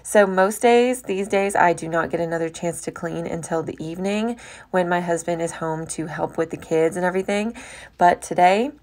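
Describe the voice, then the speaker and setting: A woman's voice: fairly low pitch, moderate pace, darkish tone. A young American woman giving a chatty, informal voice-over about household chores, as a homemaking vlogger. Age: young adult.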